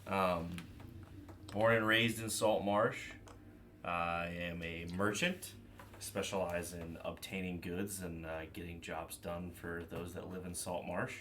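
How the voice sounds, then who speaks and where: A man playing a tabletop game, introducing his merchant character in a relaxed way. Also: sits low, takes it slowly, a little hiss or hum. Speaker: player.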